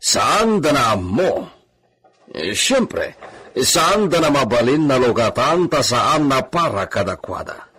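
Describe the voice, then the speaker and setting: An animated, commanding landowner of medium pitch in an Ilocano radio drama. with an Ilocano accent, giving orders to his men. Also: slow pace, husky, full character voice. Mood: authoritative.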